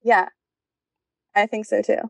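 A woman's voice: medium pitch, level tone, unhurried, creaky, dry recording. A young adult woman calling in for advice, giving a casual, conversational account of her personal life. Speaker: caller seeking relationship advice.

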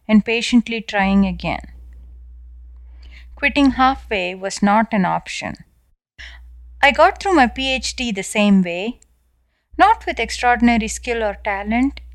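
Indian woman, 30s, first-person essay narrator, higher register, dry booth sound, earnest. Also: no room sound. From South India. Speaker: podcast essayist.

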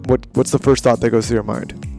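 A man speaking casually as a podcast co-host, introducing the show and chatting, with a slightly hoarse edge. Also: medium pitch, flat delivery, a little fast, darkish tone, faint music behind. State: a little bit hoarse.

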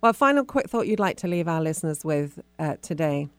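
A woman's voice: low-pitched, very animated, brisk, smooth, no echo. A woman hosting a radio show, speaking to guests and listeners as a presenter. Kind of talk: radio interview and sign-off.

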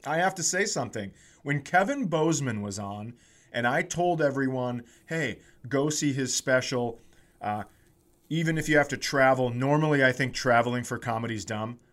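A man's voice: mid-range voice, normal speed, a bit bright. A middle-aged man chatting casually as a comedy podcast host, telling self-deprecating stories and acting out the exchanges he quotes.

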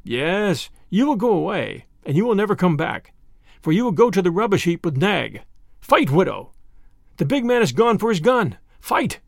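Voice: medium pitch, very animated, moderate pace, creaky, no room sound, studio quality. A narrator voicing a fierce, taunting male mongoose fighter in a cocky, challenging way, for a storybook. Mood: boastful, fierce.

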